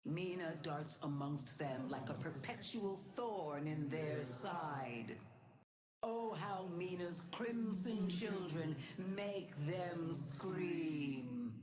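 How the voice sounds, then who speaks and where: A woman's voice: low voice, animated, darkish tone, heavily acted. A woman voicing an imperious, sinister goddess-like matriarch in a video game, theatrical and commanding, delighting in cruelty. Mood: gleefully cruel. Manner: slow, theatrical, grandly commanding. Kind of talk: video game character voice lines. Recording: roomy, echoing game voice audio.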